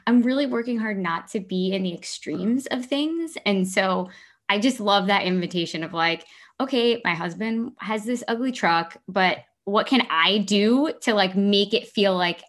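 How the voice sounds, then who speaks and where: A woman in her thirties chatting casually, thinking aloud with many fillers and restarts. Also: medium pitch. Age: 30s. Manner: thoughtful, halting, frequent fillers. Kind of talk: casual chat.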